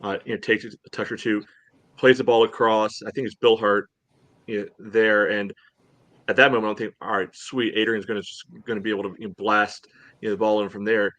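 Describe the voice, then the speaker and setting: A man talking through a soccer match casually as a podcast host, in a halting way peppered with "you know.". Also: on the low side, level tone, a bit bright, no room sound.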